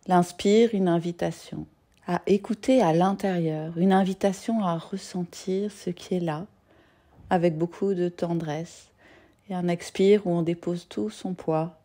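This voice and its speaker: A woman yoga nidra teacher leading a guided meditation in a gentle, soothing, soft-spoken manner with long pauses. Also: on the low side.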